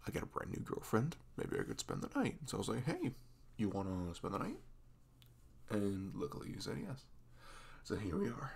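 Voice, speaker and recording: A man playing a sweet, goofy, self-conscious young boyfriend in an intimate ASMR roleplay, speaking in a halting, casual, reassuring way. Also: lowish voice, big pitch swings, creaky, breathy edge, exaggerated voice. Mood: sheepish, playful.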